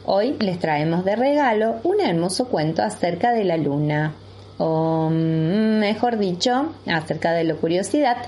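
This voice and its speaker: A woman's voice: on the low side, a little mellow. A woman with an Argentine accent, a kindergarten teacher speaking to young children as a storyteller. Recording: clear background noise.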